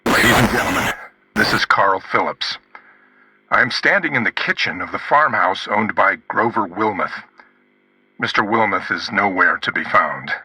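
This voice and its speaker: An actor playing a grave, urgent radio news reporter giving a formal eyewitness report in a radio drama. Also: male voice, on the low side, lively intonation, bright, forceful tone, a little hiss or hum, low-quality recording. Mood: shaken, urgent. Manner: formal, measured on-the-scene reporting.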